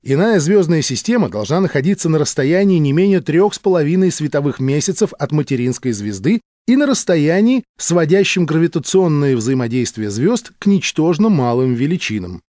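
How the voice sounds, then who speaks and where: A man reading a science fiction audiobook in a measured, formal, clearly articulated way. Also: on the high side, expressive, mellow, dry recording, studio quality. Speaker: audiobook narrator.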